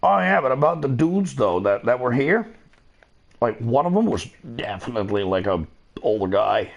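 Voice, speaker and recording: A man's voice: medium pitch, very animated, normal speed, creaky. A middle-aged man chatting casually while gaming, profane and rambling, laughing often. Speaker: streamer.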